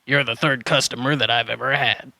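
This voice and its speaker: An eccentric old dinosaur hermit, acted out by a man, with a very bright, forceful edge over a creaky rasp. He is a flustered trader from a children's audio drama who jumbles his words. The recording is dry and close. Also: medium pitch, fairly even intonation, a little fast, no echo. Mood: quirky, chatty. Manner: muddles and swaps word order, then corrects himself.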